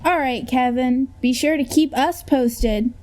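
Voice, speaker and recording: A woman's voice: sits high, unhurried, heavy background noise. A woman playing a chirpy TV news anchor in a parody newscast for a radio play. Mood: upbeat, polished.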